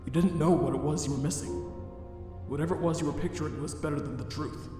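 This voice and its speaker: A man voicing a weary, self-loathing skeleton scientist in a dramatic comic dub, speaking in formal, resigned, deliberate phrases. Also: fairly high pitch, fairly even intonation, lightly acted. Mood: bitter, despairing. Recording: echoey hall reverb under music.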